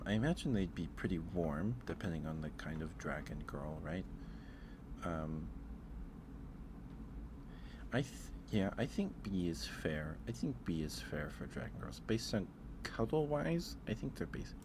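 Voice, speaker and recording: A man with a low voice speaks softly in a casual ASMR livestream. He rambles a little, hesitating as he goes, and there is steady noise behind him. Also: a little slow. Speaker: live streamer and host.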